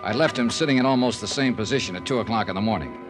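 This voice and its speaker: A man's voice: on the low side, very fast, natural voice. An actor playing a tough, sardonic insurance investigator in a 1950s radio drama, clipped and blunt, narrating his own case. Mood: dry narration. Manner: sardonic, clipped, hard-boiled. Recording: 1950s radio recording with music.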